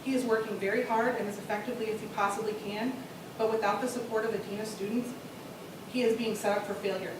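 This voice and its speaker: A woman's voice: mid-range voice, steady tone, quick pace. A woman making an earnest public comment to a school board. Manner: earnest, pleading. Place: school board meeting room. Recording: very echoey room, distant mic, noisy.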